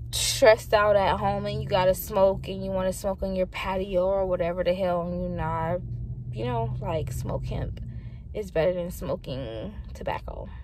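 A woman's voice: fairly low pitch, a little mellow. Tipsy, rambling woman in a noisy car. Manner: rambling, swears freely. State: a little tipsy. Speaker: vlogger. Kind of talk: casual chat. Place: inside a car.

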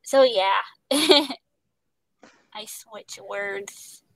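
A woman's voice: mid-range voice, drawn-out words, fairly bright tone, dry recording, rough recording. A young woman who stutters, speaking on a headset over a video call from home, with many fillers and repeated words. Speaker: guest, voice actor. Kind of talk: casual interview chat. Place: home room.

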